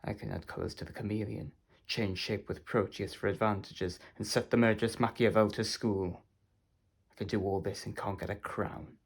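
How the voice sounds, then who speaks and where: A man playing a quiet, menacing, scheming villain in a hushed Shakespearean soliloquy. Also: fairly low pitch, fairly even intonation, moderate pace, creaky, slightly rough. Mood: cold menace.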